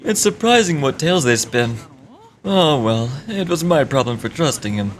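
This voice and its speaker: A woman voicing a smug, taunting male trickster from a video game, with theatrical, drawn-out mock politeness. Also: low voice, expressive, pitched below the performer's own voice. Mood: condescending. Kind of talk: game dialogue read aloud in character.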